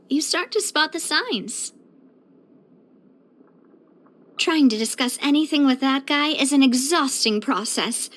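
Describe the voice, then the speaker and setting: A woman voicing a bright, earnest, sociable young female leader in a video game, chatty and expressive. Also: high-pitched. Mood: pensive, wry. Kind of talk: video game character voice lines.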